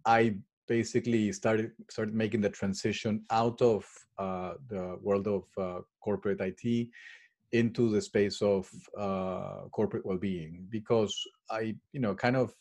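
A man's voice: on the low side, fairly even intonation, unhurried, darkish tone. A middle-aged man from Peru, answering interview questions about his work in a hesitant way, with many fillers and restarts. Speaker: guest, well-being consultant and coach.

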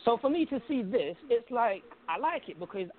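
A man's voice: very high voice, very animated, moderate pace, slight background noise. A man from Algeria chatting casually as a guest caller on a podcast, emphatic and slangy, often repeating his phrases. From Algeria, North Africa. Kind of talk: casual opinionated chat. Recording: phone-like, narrow tinny call sound.

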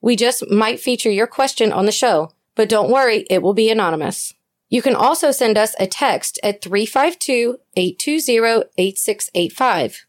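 A woman's voice: a little high, expressive, moderate pace. Woman reading a podcast announcement. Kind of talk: read announcement.

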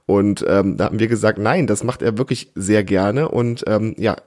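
A man around his thirties or forties hosting a casual family podcast, explaining things and gently prompting with questions. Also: on the low side, moderate pace. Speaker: podcast host, father interviewing his child.

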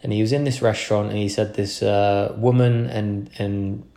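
Earnest rambling man, low voice. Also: flat delivery, normal speed, gravelly, warm tone. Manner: earnest, rambling, frequent restarts. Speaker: podcast host. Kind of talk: casual motivational monologue.

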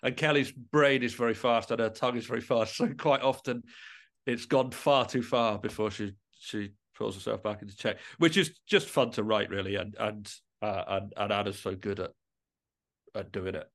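A middle-aged man with a fairly low voice talks as a guest in a podcast interview. He thinks as he goes, often pausing and starting a phrase again in a conversational, reflective way. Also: steady tone, a bit bright. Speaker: guest musician, composer and writer.

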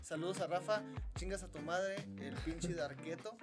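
A man's voice: fairly high pitch, fairly even intonation, moderate pace, music in the background. A man, a podcast guest who rambles through stories and laughs as he talks. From Mexico. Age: 20s. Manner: rambling storyteller, laughs while talking. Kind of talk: casual podcast chat.

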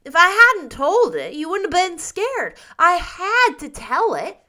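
A woman's voice: very high voice, huge pitch swings, unhurried, sharp tone, pitched above the performer's own voice, heavily acted. A woman voicing an impish, earnest little boy from a storybook, blurting things out frankly in childish, ungrammatical speech. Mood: frank, excited. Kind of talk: storybook character voice.

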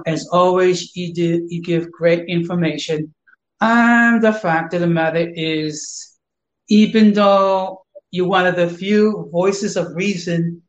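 Earnest older man, measured. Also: high voice, lo-fi sound. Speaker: guest on a livestream. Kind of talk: casual chat, earnest thanks.

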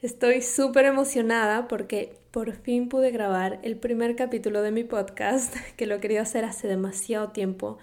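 A woman's voice: on the high side, steady tone, normal speed, few pauses, a little mellow. A young woman with an Ecuadorian accent from Quito, hosting her own podcast in a chatty, upbeat way, laughing often and slipping English words into her talk. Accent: Ecuadorian Spanish from Quito. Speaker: podcast host and health coach. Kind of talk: solo podcast monologue.

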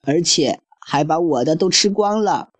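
A man's voice: a little high, lively intonation, a little slow, voice pushed up. A man doing a crying baby bear. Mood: tearful. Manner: tearful, wailing.

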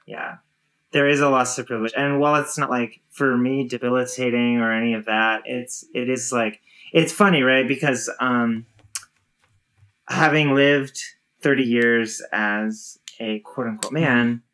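A woman in her thirties with a low voice, talking casually and thoughtfully with many fillers and restarts. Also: fairly even intonation, unhurried, fairly bright tone. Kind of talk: casual conversation.

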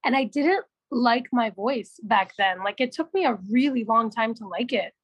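A young woman from Canada with a naturally raspy voice, a musician guest talking casually and with animation. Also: a little high, normal speed, no room sound, highs cut off. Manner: animated storytelling, laughs often, swears. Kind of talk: casual chat.

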